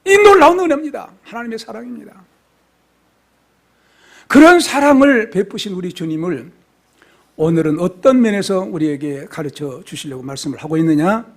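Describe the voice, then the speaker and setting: A male pastor preaching an expository sermon in a church, swinging from soft, hushed phrases to loud, fervent exclamations. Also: sits high, expressive, normal speed. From South Korea. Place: church sanctuary.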